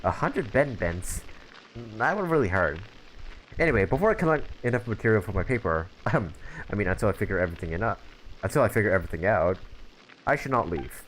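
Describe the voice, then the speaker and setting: A man's voice: on the low side, big pitch swings, brisk, fairly bright tone, a little hiss or hum, rough recording. Man doing a fussy, pampered male scholar. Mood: anxious. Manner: fussy, flustered, complaining.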